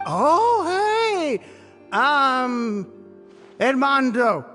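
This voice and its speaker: A carefree drunk man in a video game. He rambles and giggles in a high voice that swoops up and down, with slow, slurred delivery. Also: lively intonation, bright, forceful tone, long reverb tail, soft background music, exaggerated voice. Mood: rambling, mocking. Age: 30s. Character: jolly tipsy man, pushing forty, carefree chatterbox.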